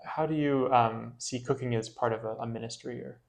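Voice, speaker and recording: A man's voice: medium pitch, fairly even intonation, fairly quick, gravelly. A man, a parish priest, hosting a casual interview, friendly and hesitant with many fillers.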